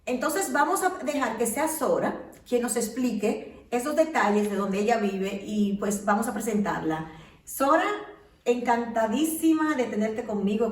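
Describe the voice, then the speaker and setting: A woman hosting an interview, introducing her guest and asking lively questions. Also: medium pitch, moderate pace, reverberant, distant mic, poor audio. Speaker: interview host. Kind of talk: casual interview, warm introductions. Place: home of the guest.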